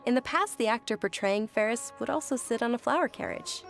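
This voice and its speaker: An actress voicing a gentle, earnest young noblewoman in a video game, with a polite, softly enthusiastic manner. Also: a little high, animated, fairly quick, vocal fry, faint music behind. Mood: pleasant, explaining.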